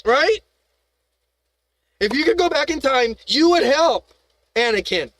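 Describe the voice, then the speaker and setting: Heated, high-voiced man ranting on stream. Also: lively intonation, slow, fairly bright tone, no room sound, studio quality. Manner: heated, profane, rambling, with restarts and rhetorical questions. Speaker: live-stream host. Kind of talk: rambling live-stream rant.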